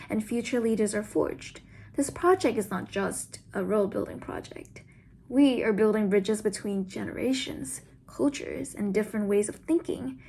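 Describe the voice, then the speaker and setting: High-voiced young woman giving a speech. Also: darkish tone. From Vietnam. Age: around 20. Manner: earnest, formal. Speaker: student speaker. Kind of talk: prepared speech.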